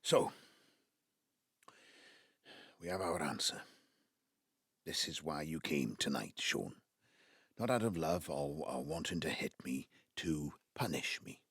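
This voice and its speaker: An actor playing an ageing Welsh patriarch in an audio drama, wounded, tender and defensive, with a halting, emotive delivery. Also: male voice, rumbling low, expressive, fairly bright tone, breathy edge, no echo, studio quality, heavily acted. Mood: bitter. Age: 70s. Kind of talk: naturalistic audio drama acting.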